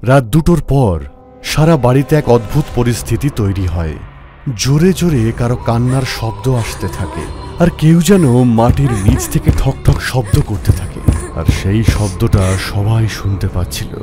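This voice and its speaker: A man's voice: mid-range voice, animated, moderate pace. Male horror story narrator over music.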